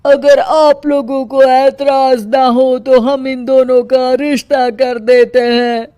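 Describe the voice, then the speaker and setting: A polite, wheedling middle-aged father from a cartoon , acted by a woman. The delivery is slow and deferential, in a fairly high, coaxing voice. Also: flat delivery, warm tone, no room sound, studio quality.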